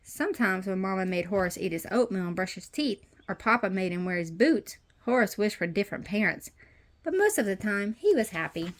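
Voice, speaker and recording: A woman reading a children's picture book aloud as a storytime host, with expressive storytelling. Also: mid-range voice, brisk. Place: messy playroom at home. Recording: noisy home room with clicks.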